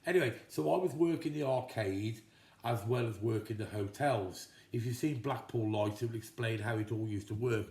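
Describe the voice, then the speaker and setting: A man's voice: medium pitch, normal speed, a little mellow. A man in his fifties from Telford, chatting casually to camera as he answers viewers' questions, rambling a little with frequent restarts, recorded at home in his kitchen. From England, Telford. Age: 50s. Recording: echoey kitchen.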